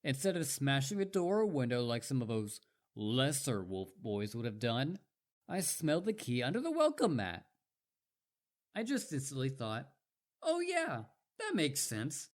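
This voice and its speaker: An eager young wolf boy, acted by a man, speaks straight to the listener in a roleplay drama. His pitch swings up and down with great animation. He is smitten and full of himself, rambling on and stammering whenever he gets flustered. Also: wildly swooping pitch, measured pace. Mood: smug, sweet.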